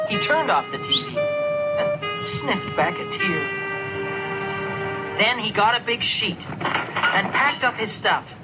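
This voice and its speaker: A storyteller narrating a rhyming children's story in an expressive, theatrical, sing-song way. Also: mid-range voice, low-quality recording. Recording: narration over loud music. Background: music.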